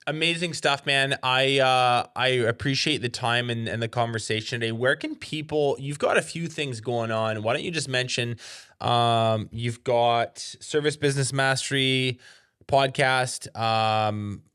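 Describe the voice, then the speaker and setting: A man's voice: medium pitch, steady tone, measured pace, bright, forceful tone, clean tone, no echo, clean studio sound. A man hosting a podcast, chatting casually in an informal, buddy-like way. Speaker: podcast host. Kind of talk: casual interview chat.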